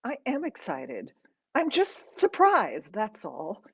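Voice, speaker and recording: A woman's voice: medium pitch, huge pitch swings, slow, fairly bright tone, breathy edge, dull audio, full character voice. A woman narrator voicing a middle-aged daughter who is stunned and resentful but forcing politeness, in a strained, guarded manner. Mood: subdued, reluctant.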